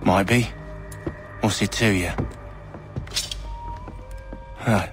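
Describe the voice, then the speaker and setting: A man acting a cocky, rough criminal thug in a video game, taunting people in slangy street talk. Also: on the low side, fairly even intonation, extremely slow. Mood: menacing. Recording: loud music under the voice.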